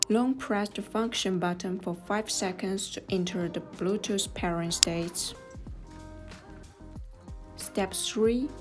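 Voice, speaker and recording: A woman reading scripted step-by-step tutorial narration in a measured, instructional manner. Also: mid-range voice. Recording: voice over clear background music. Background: music.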